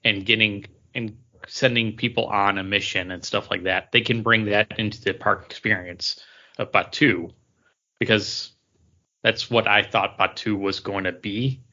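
A man chatting casually as a podcast co-host, halting and repeating words as he makes his case. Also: fairly low pitch, steady tone, pushed and bright, muffled recording. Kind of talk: casual chat about theme park attractions.

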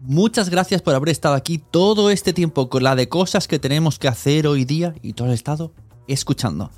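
A man's voice: a little high, fairly quick, a little mellow, soft background music. A man with an accent from Spain talks casually and directly to the listener as a podcast host. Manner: chatty, direct address to listener.

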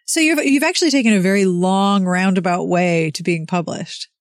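A woman's voice: mid-range voice, normal speed, dry recording. A woman hosting a podcast interview, enthusiastic and conversational as she asks about a new book. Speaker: podcast host. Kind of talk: casual interview chat.

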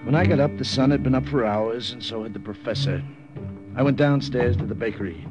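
An actor playing an uneasy undercover agent who narrates in a hardboiled, confiding way in a radio drama. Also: male voice, medium pitch, fairly quick, lightly acted. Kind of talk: 1950s radio drama acting. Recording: muffled old radio, loud music behind.

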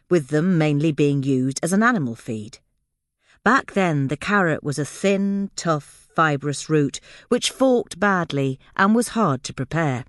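A woman's voice: low voice, no room sound, clean studio sound. A woman reading a scripted magazine article as a podcast narrator.

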